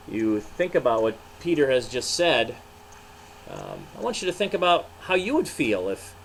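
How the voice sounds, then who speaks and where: A man speaking as a pastor, introducing a children's storybook in a halting way with frequent pauses. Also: mid-range voice, slight background noise, poor audio. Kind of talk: children's storybook reading with short reflections.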